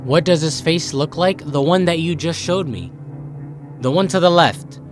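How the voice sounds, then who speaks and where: A man's voice: fairly high pitch, normal speed, soft background music. A young American man giving casual, joking reaction commentary in exclamatory slang. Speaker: host of a reaction channel.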